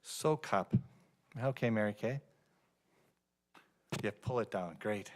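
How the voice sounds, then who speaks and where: A man's voice: lowish voice, takes it slowly. A man chairing a city council public hearing, calling speakers politely and procedurally. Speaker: council chair running the meeting. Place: council chambers.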